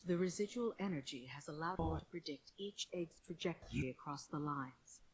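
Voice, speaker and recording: A woman's voice: deep voice, moderate pace, slight background noise, lightly acted, low-quality recording. A woman playing a dutiful military officer in a video game, speaking in a firm, businesslike briefing manner. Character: brisk, dutiful female military officer, skeptical but loyal. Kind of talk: game mission briefing dialogue.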